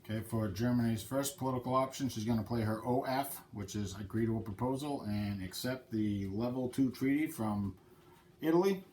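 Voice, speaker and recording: A man's voice: mid-range voice, normal speed, a little mellow, slight background noise. A man with a head cold hosting his channel, talking casually through a board game playthrough and explaining the rules step by step. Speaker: channel host.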